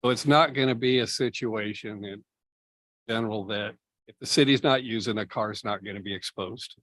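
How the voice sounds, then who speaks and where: A city staff member explaining budget items at a public meeting, measured and explanatory, with many fillers. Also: male voice, on the low side, fairly bright tone. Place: meeting room.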